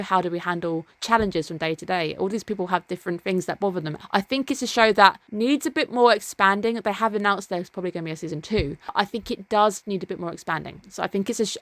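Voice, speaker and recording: A woman's voice: mid-range voice, a bit bright, no room sound. Chatty, enthusiastic woman. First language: English. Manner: chatty and enthusiastic, with quick asides and laughs. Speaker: anime reviewer. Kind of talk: casual video review.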